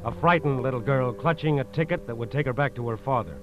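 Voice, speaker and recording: A male narrator reads in a solemn, suspenseful vintage radio style with a medium-pitched voice. Prominent music plays behind him, and the recording is dull. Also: quick pace. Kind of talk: 1940s radio scripted dramatic narration. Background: dramatic music.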